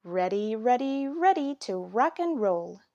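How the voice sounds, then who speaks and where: A woman acts out a hearty, enthusiastic storybook dad. The delivery is emphatic and very animated, with a fairly high pitch for the part. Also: unhurried, dry recording, dull audio. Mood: excited.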